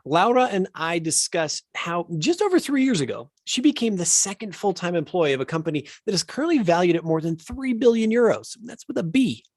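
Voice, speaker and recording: A man's voice: sits high, very animated, brisk, few pauses, no room sound. A man hosting an education podcast, reading a scripted intro and then chatting with enthusiasm and frequent restarts. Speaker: podcast host.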